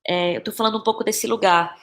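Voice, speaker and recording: Brazilian woman, thoughtful and halting. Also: medium pitch, fairly even intonation, a bit bright. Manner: thoughtful, halting, searching for words. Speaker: guest playwright and actress. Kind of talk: reflective interview conversation.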